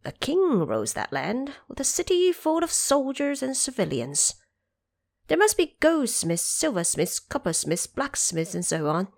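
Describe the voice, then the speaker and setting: A woman's voice: high voice, a little mellow, no room sound, clean studio sound, pitched above the performer's own voice, heavily acted. Storybook elderly monkey voiced by a woman, deferential, helpful, raised lilting voice, eager explainer.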